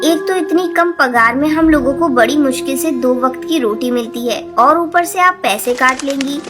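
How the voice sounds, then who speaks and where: A very high, humble little mother bird played by a woman for a children's cartoon. She pleads politely and earnestly. Loud music runs under the voice. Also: moderate pace, natural voice. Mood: pleading, earnest. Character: humble poor female bird, maid, mother or daughter. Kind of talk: Hindi children's cartoon dubbing.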